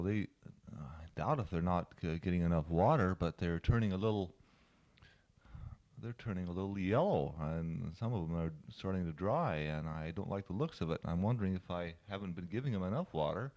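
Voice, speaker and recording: A man recording a casual spoken letter to family, talking in a halting, plain-spoken way. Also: low voice, animated, a little mellow. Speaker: family member sending a taped letter. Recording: lo-fi 1970s cassette tape.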